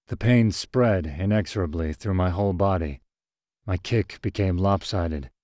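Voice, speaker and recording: Deep-voiced male storyteller. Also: dry recording, studio quality. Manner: measured, even storytelling. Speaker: narrator. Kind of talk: scripted first-person story narration.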